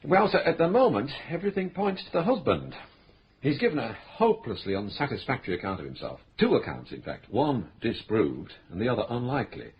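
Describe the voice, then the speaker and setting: An actor playing a calm, patient male police inspector in a radio drama, who explains things in a measured, polite way. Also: mid-range voice, muffled recording, slight background noise.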